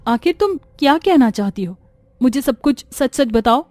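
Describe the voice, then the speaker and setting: एक औरत कार्टून कहानी में चुड़ैल का सामना करती एक साहसी, सच्चे दिल की पत्नी की विनती भरी आवाज़ निभा रही है।. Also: female voice, high voice, expressive, normal speed, a little mellow, no room sound. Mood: insistent. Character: brave, earnest wife confronting a killer witch. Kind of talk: Hindi cartoon story acting. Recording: faint music underneath.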